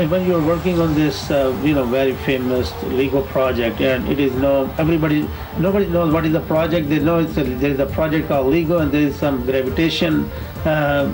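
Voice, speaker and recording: A man interviewing a guest for television, asking long questions haltingly with many fillers. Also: a little high, rough recording.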